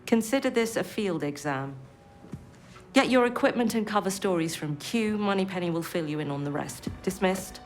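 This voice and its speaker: An actress playing an intelligence chief in a video game, formal, dry and authoritative. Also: female voice, mid-range voice, expressive, moderate pace, soft background music, close to an everyday voice. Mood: businesslike, commanding. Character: authoritative, dryly commanding female British spy chief. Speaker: intelligence chief briefing agents. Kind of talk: video game cutscene acting.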